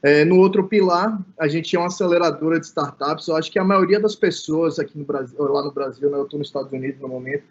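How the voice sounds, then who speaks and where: A man from Salvador with a Bahian Brazilian accent speaks in a fairly high voice. He presents informally and conversationally, checking in with short tags. The sound is dull and lo-fi, like an online call. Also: quick pace, few pauses. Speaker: innovation manager, guest speaker.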